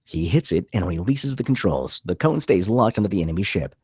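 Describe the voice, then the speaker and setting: A man's voice: sits low, animated, fairly quick, a little mellow, breathy edge, no echo, studio quality. A man reading stage directions as dramatic scripted narration at a table read.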